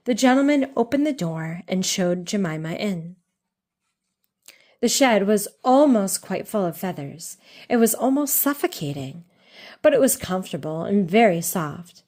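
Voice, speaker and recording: A woman narrates a children's storybook, reading aloud in an expressive, deliberate way. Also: medium pitch.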